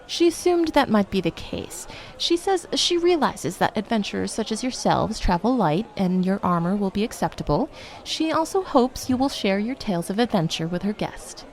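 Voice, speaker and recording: A woman voicing a prim, courteous male courier who speaks formally and briskly. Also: medium pitch, expressive, a little mellow, close to an everyday voice.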